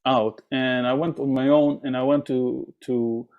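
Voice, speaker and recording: A man's voice: mid-range voice, little pitch movement, measured pace, phone-quality sound. A man from Israel, a drummer, telling stories in a casual interview, with many fillers and restarts. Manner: rambling, many fillers and restarts, acts out quoted voices.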